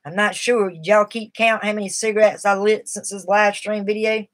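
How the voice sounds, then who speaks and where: A woman's voice: mid-range voice, steady tone, normal speed. Woman, middle-aged, live stream host, rambling and earnest, shaky from withdrawal. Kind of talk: casual live stream talk.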